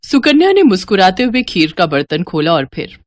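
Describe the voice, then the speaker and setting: A woman's voice: on the low side, sing-song, moderate pace. A woman reading scripted narration as the narrator of a story.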